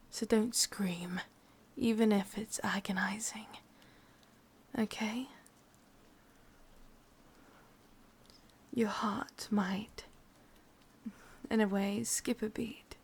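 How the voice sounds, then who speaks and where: A woman's voice: mid-range voice, darkish tone, husky, heavily acted. A woman with an English accent playing a sadistic, possessive yandere witch in an ASMR roleplay, mostly whispering in a coaxing yet commanding way. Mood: menacingly tender.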